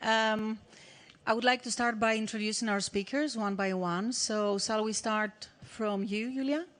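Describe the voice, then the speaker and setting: A woman moderating a film industry panel, speaking steadily with frequent pauses and fillers. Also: on the high side, steady tone, fairly bright tone.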